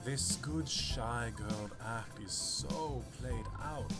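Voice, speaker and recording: A man's voice: mid-range voice, extremely slow. Man acting a pompous young snob over music. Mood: scornful. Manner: pompous, disdainful. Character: haughty, scornful young male snob. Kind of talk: anime visual novel voice acting.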